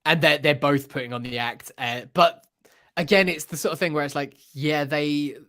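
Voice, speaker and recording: Slightly high pitched guy hosting a podcast, chatting casually, kinda hesitant, thinking out loud. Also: normal speed. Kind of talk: casual pop-culture discussion.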